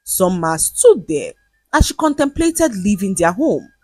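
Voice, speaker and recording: A woman storyteller delivering scripted, dramatic folktale narration in an expressive way. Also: medium pitch, a little slow, breathy edge, no echo.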